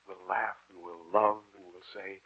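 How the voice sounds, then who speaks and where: A man's voice: sits low, level tone, normal speed, husky. An actor playing an ardent, anguished young man in love, in an earnest, theatrical 1940s radio drama style. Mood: tender, hushed. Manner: earnest, theatrical, impassioned delivery. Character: ardent, anguished young male lover narrating his tragic tale. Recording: muffled 1940s radio recording, background music.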